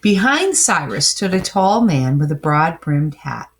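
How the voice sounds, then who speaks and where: A woman reading a children's story aloud with dramatic, emphatic phrasing and pauses. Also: deep voice, big pitch swings. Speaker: storybook reader. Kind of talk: expressive children's story narration, with asides to listeners.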